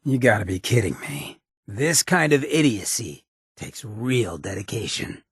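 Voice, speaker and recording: An actor playing a swaggering, irreverent outlaw drifter in a video game, with a raspy, gravelly voice and a slangy, sardonic drawl. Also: male voice, lowish voice, sing-song, unhurried, a bit bright, no echo, clean studio sound, exaggerated voice. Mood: wry, sardonic. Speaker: video game companion character. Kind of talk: scripted game dialogue, wry banter.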